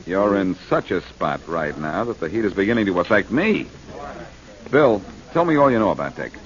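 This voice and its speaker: A man's voice: low-pitched, sing-song. An actor playing a glib, confident, wisecracking male detective in a 1940s radio drama. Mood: confident, probing. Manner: glib, teasing, quick with quips. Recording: dull 1940s radio broadcast recording.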